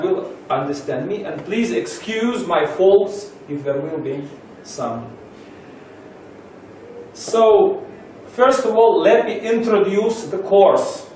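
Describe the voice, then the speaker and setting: Russian-accented man, lecturer, unhurried halting delivery, distant mic, roomy echo, slightly high voice. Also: animated, darkish tone, slight background noise. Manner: halting, many filler pauses. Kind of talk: seminar introduction.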